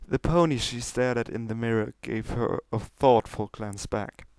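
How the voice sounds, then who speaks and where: A man's voice: medium pitch, normal speed, a little mellow, clean tone, no room sound. A man reading fan fiction as an amateur narrator, moving between casual talk and storytelling.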